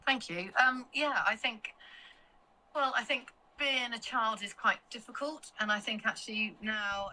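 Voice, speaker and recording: A woman's voice: lowish voice, sharp tone, far from the mic, slight background noise, poor audio. A middle-aged woman answering as an interview guest, reflective and talking with many "I think" and "you know" asides. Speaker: guest, founder of a children's mental health organisation.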